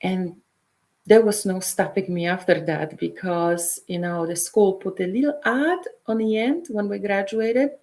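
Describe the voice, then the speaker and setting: A middle-aged woman from Poland with a Polish accent, a counselor hosting a show and leading a guided meditation before telling her own story, speaking softly and sometimes dropping to a whisper. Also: mid-range voice. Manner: soft, unhurried, drops to a whisper at times. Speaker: intuitive counselor and show host.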